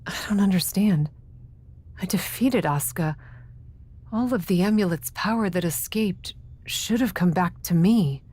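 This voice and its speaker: Weakened, resolute female wrestler for a game cutscene, lowish and a little husky. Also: measured pace, a little mellow. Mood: confused. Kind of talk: video game cutscene acting.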